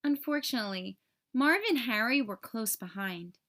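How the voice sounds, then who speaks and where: A woman narrating a children's story aloud in an expressive, clearly enunciated storytelling manner. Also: on the high side, normal speed. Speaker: storybook reader.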